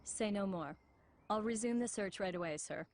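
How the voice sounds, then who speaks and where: A woman playing a dutiful, determined undercover agent in an animated film dub. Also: medium pitch, normal speed, slight background noise, lightly acted. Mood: resolute, dutiful.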